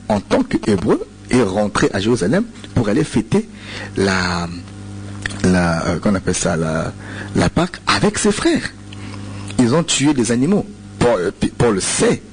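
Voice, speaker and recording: A man's voice: on the low side, slight background noise. A man from Congo with a Congolese French accent, a radio preacher answering listener questions, hesitant, with many repeated words and fillers. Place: radio studio.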